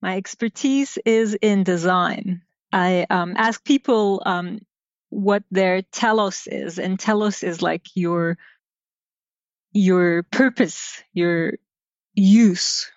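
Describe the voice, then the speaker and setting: A middle-aged woman from Turkey, a designer, telling stories from her childhood in an interview, unhurried and reflective with many pauses. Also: mid-range voice, no room sound. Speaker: guest designer. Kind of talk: casual interview storytelling. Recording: dull lo-fi sound.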